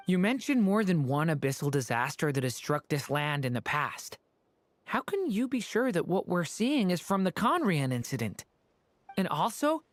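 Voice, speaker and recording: A woman voicing an earnest, gentle young boy in a video game, polite and hesitant. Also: fairly low pitch, dry recording. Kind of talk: video game character acting.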